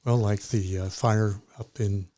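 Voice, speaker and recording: A man speaking as an interview guest, explaining in a measured, slightly hesitant manner. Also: lowish voice, steady tone, mellow. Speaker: amateur radio club member, guest.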